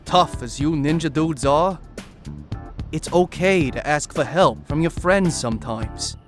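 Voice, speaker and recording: Male actor for supportive laid-back buddy, slangy, somewhat high voice, audio drama. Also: measured pace, faint music behind, lightly acted. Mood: easygoing, supportive. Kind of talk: fan audio drama acting.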